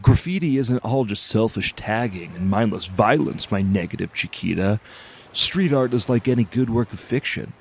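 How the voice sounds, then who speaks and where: A man's voice: on the low side, lively intonation, moderate pace, vocal fry, a little hiss or hum. A man playing a laid-back stoner food truck owner who rambles grandly and philosophically in an audio drama. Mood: mock-profound. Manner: rambling, grandiose, mock-profound. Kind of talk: audio drama character acting.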